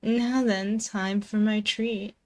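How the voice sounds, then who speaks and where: A possessive female demon, played by a woman, speaks slowly and intimately, right up at a binaural mic. Her medium-pitched voice teases and coaxes in a condescending, controlling way, in the manner of an ASMR girlfriend roleplay. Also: fairly even intonation, lightly acted. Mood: smug, hungry. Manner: slow, coaxing, condescending pet-name teasing. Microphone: close binaural microphone.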